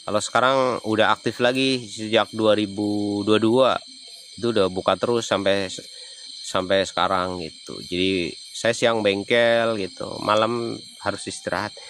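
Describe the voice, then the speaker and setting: A man's voice: fairly low pitch, fairly even intonation, normal speed, a bit bright, a little hiss or hum. A man from Banyumas with a Javanese accent, chatting casually on a livestream and answering viewers' comments. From Banyumas, Central Java. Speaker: livestreaming explorer.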